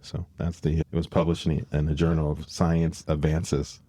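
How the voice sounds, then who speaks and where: A man's voice: very deep voice, flat delivery, normal speed, dark tone, no echo. A man hosting a podcast, explaining things in an informal, rambling way with self-corrections. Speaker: podcast host, biologist. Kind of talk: casual explanatory podcast talk.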